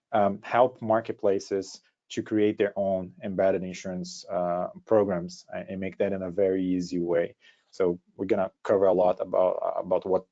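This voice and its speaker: A man with a Brazilian accent presenting an informal webinar in a halting way, often repeating words as he goes. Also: lowish voice, dark tone. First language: Portuguese. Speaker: startup co-founder giving a presentation. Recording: dull, lo-fi online call audio.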